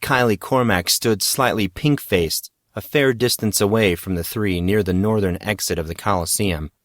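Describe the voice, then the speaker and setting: Lowish-voiced man narrating fiction. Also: moderate pace, no room sound, clean studio sound. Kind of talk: scripted story narration.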